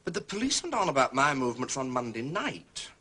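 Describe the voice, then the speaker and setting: An actor playing a blunt, slightly uneasy gentleman witness, clipped and defensive, in a period detective drama. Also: medium pitch, big pitch swings, a little fast, slightly rough, heavily acted.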